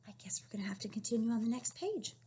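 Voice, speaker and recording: Female children's storyteller, high and breathy, expressive, encouraging, asks questions as she reads. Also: fast talker. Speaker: read-aloud storyteller teaching young children. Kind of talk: picture-book read-aloud with questions to children.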